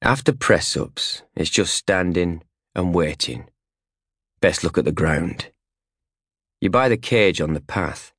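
Male narrator for literary fiction audiobook. Low, deep register, clipped short phrases, very dry recording. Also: animated, takes it slowly, long pauses, fairly bright tone, husky, no echo. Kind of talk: scripted first-hand fiction narration in second person. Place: recording studio.

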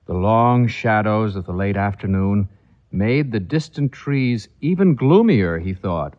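A man's voice: on the low side, animated, a little slow. Man narrating a story, dull old recording. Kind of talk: dramatic scripted ghost-story narration.

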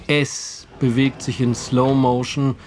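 Casting: man as audio drama narrator, measured wry delivery, middle register. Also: mid-range voice, little pitch movement, darkish tone, slight background noise.

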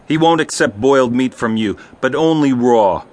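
A man's voice: medium pitch, moderate pace, natural voice. Man acting a curt, bullying temple servant. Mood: demanding. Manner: curt, threatening. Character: bullying, demanding priest's servant. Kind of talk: dramatized scripture audiobook acting.

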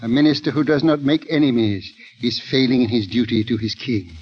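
An actor playing a shrewd, unruffled cardinal, urbane and dryly ironic, in old radio drama style. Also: male voice, medium pitch, a little fast, slight background noise, natural voice. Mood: coolly defiant. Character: suave, shrewd, unruffled cardinal and chief minister. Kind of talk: 1930s-40s radio drama acting. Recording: dull vintage radio recording.

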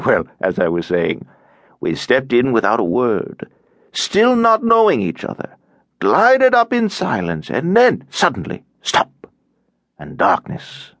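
A man's voice: medium pitch, huge pitch swings, slow pace, fairly bright tone, no room sound, pitched above the performer's own voice, exaggerated voice. A man voicing a cheerful, tiresome, garrulous elderly Russian émigré in an audiobook, droning on with over-familiar chattiness. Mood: musing, insistent. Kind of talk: audiobook character acting.

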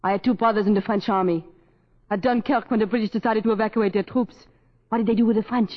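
An actress playing a bitter, defiant young French chambermaid in a 1940s radio drama, cool and sharp-tongued. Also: female voice, medium pitch, fairly even intonation, fast talker, natural voice. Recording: muffled old radio recording, long reverb.

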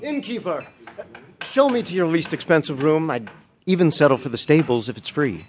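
Man playing a high-voiced, rapturous young musician. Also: animated, moderate pace, noisy background, lightly acted. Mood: guarded, curt. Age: young adult. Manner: rapturous, declamatory. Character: joyful, poor young German musician on foot. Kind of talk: dramatized audio play acting.

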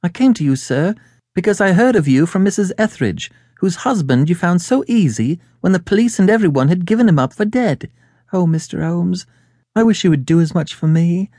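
A nervous young Englishwoman from a classic storybook, acted out by a man. The voice sits high and swoops in a lively way. She is good-humoured but excitable, gushing along in long, indignant, chatty sentences. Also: brisk, no echo, clean studio sound. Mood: earnest pleading.

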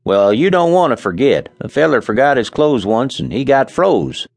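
A complaining, plain-spoken farmer talks in folksy country speech, a man giving him voice. His pitch jumps around, set higher than the narrator. The recording is dry and close. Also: big pitch swings, normal speed, creaky, no room sound. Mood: grumbling. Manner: folksy, grumbling talk. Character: grumbling rural farmer, blunt and complaining.

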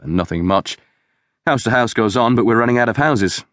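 A man's voice: mid-range voice, lively intonation, normal speed, a bit bright, dry recording, clean studio sound, voice pushed up, close to an everyday voice. Man voicing a tactful junior police detective. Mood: matter-of-fact. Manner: placating, polite. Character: tactful, eager-to-smooth-things male police detective subordinate. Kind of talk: audiobook character dialogue.

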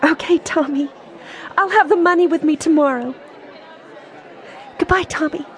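A lovestruck young woman who speaks softly and earnestly, her voice a touch high, played by a woman. Faint crowd chatter stays in the background of this radio drama. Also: moderate pace, close to an everyday voice. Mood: hopeful. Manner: soft, earnest and pleading. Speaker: actress in audio drama.

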